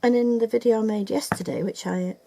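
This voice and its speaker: A woman's voice: a little high, fairly even intonation, brisk, gravelly, dark tone. An older woman teaching felt-making from her home, talking casually with many ums and restarts. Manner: chatty, hesitant, with many ums and self-corrections. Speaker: felting teacher. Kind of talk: casual video tutorial. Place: home room, upstairs.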